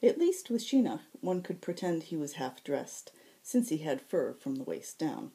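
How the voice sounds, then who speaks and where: A woman, an author reading her fiction aloud in an expressive narrating style. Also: sits low, normal speed.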